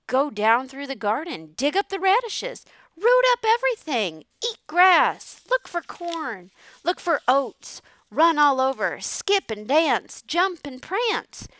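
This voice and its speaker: Chattering goose hen, high and bouncy, voiced by a woman, dry close sound. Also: sing-song, a bit bright, dry recording, heavily acted, low-quality recording. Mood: cheerful. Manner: repeats words, quick and cheery. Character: fast-talking chattering female goose.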